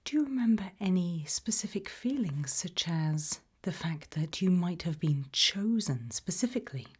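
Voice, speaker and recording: A British woman speaking in a breathy whisper, calmly asking measured questions in an ASMR roleplay interview. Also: on the low side. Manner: calm, measured, careful questioning. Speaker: ASMR roleplayer playing an abduction investigator.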